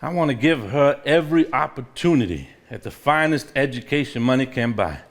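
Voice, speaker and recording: An actor playing a composed, measured man who reads and talks in a live stage play. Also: medium pitch, lively intonation, natural voice. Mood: formal, measured. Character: composed, conspiring man reading an old diary aloud. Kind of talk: live stage play acting, formal reading aloud.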